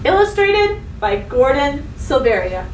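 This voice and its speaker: A woman schoolteacher giving a read-aloud lesson to young pupils, speaking instructively and directly, with expressive emphasis. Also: high-pitched, a little slow. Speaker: elementary school teacher. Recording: roomy, distant mic with faint noise.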